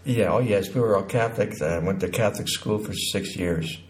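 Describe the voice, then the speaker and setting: A man in his sixties from Connecticut recounts his life as an interview guest, haltingly, pausing mid-sentence. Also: on the low side. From Connecticut, United States. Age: 60s.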